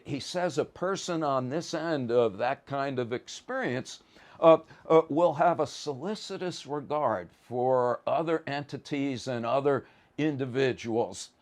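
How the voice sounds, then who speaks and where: Guy lecturing on philosophy, slow and halting, mid-pitched voice, really stresses the key words. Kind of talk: philosophy lecture, informal explanatory.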